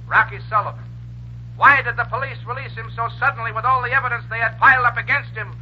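An actor playing a crusading radio speaker, urgent and declamatory, in 1930s broadcast oration. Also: male voice, extremely high pitch. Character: crusading radio speaker demanding answers about a gangster. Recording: dull, lightly noisy old radio broadcast.

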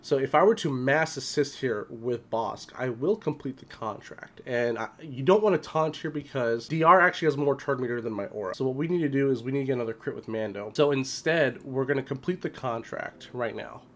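Slightly hoarse man explaining gameplay. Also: medium pitch, fairly quick, few pauses, fairly bright tone. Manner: explanatory, informal. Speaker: gaming video creator. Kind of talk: casual gameplay strategy commentary. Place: small room at home.

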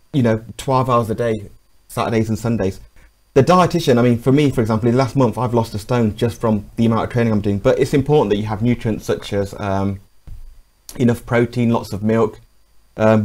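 A middle-aged man talking about training for a charity bike ride, rambling and energetic. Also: medium pitch, brisk. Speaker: charity cyclist guest. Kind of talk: studio interview. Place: TV studio.

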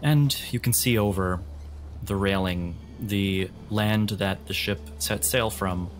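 A man with a low voice works as game master, describing scenes to his players in a measured, second-person narration. Music plays behind him.

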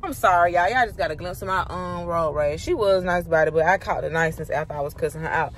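Low-voiced young Southern US woman vlogging. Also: fast. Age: young adult. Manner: informal, slangy. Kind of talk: casual vlog chat to camera. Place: rooms at home and a parked car. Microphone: phone at arm's length.

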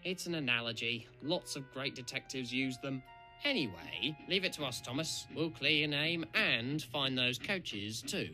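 A man's voice: mid-range voice, lively intonation, measured pace, bright, forceful tone, soft background music, poor audio. A man voicing a pompous, self-assured red steam engine playing detective in a cartoon. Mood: confident.

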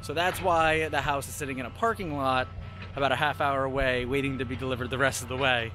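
A man's voice: medium pitch, fairly even intonation, quick pace, pushed and bright, soft background music. A man in his thirties, a homestead vlogger, chatting easily to a camera held at arm's length, first outdoors on rural land and then inside a camper. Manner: chatty, easygoing, talks straight to camera. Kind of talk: casual vlog narration.

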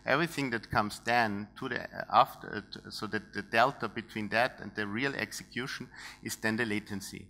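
An Austrian man in his thirties speaks from a big dark stage through a headset mic. His voice is bright and a little tense, set on the low side. He talks his way through a technical topic, thinking aloud with fillers and restarts. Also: a little fast, gravelly. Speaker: conference speaker. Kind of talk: technical conference talk. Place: large dark conference stage.

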